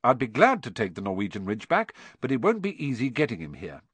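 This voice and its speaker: Friendly practical young letter writer, read by a male narrator, animated storybook delivery, close dry sound. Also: mid-range voice, fast talker, husky, no room sound, heavily acted. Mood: brisk, friendly.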